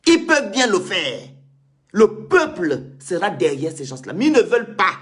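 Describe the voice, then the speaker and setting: A man's voice: sits high, wildly swooping pitch, moderate pace, fairly bright tone. A man hosting a call-in debate, talking in an insistent way and repeating words as he makes his points. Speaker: show host.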